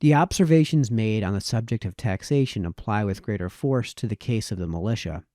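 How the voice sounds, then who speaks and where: A man reading a formal historical essay aloud as narrator, in a deliberate, expository manner with varied emphasis. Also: fairly low pitch, dark tone, no room sound, clean studio sound. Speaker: reader, narrator.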